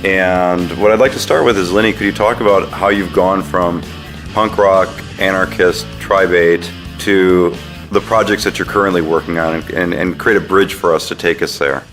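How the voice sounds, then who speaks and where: A man's voice: deep voice, fairly even intonation, moderate pace, fairly bright tone, reverberant, soft background music. A man hosting a podcast interview in a conversational style.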